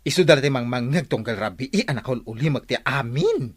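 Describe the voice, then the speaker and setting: A woman's voice: very deep voice, lively intonation, fairly quick. Woman acting a swoopy, nosy village gossip. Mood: gossiping, disapproving. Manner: exclamatory, scandalised gossip. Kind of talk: Ilocano audio drama dialogue.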